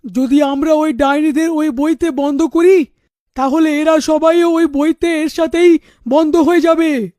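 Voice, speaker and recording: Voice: high voice, fairly even intonation, a little slow, a little mellow, no echo, studio quality. An actor playing a troubled, protective middle-aged father in a cartoon, grave and insistent in manner. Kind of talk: animated story dialogue.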